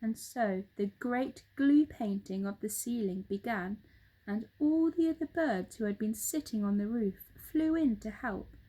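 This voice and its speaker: A woman narrating a children's storybook aloud in an expressive, dramatic manner. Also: a little high, measured pace, poor audio. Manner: animated, dramatic read-aloud.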